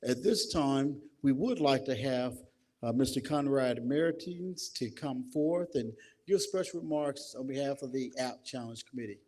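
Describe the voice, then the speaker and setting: A man serving as emcee at a community reception, announcing guests and calling names slowly. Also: medium pitch, a little mellow, slight background noise. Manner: announcing names, slow and deliberate. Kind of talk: emceeing a public program.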